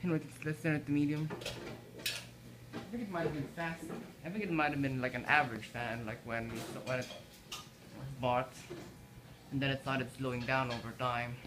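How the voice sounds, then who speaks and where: A man vlogging indoors about a ceiling fan, holding a phone camera, talking in a halting way with frequent restarts. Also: medium pitch, steady tone, noisy background. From Philippines. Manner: halting, repeats words and restarts often. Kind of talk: casual filmed commentary. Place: indoors in a house kitchen. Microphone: handheld phone camera.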